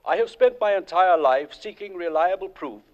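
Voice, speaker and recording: A man's voice: high-pitched, animated, moderate pace. An actor playing a serious, formal male psychic investigator, in 1940s radio acting style. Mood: solemn. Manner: grave, formal, portentous. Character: solemn serious male psychic ghost hunter. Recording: dull old 1940s radio recording.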